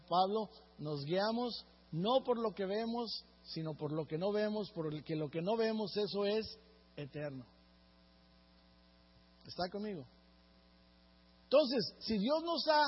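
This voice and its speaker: A man's voice: high-pitched, breathy edge, big-room sound, muffled recording. A man from Mexico, preaching a sermon as pastor and often checking in with the congregation. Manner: earnest preaching, often asks the congregation "right?".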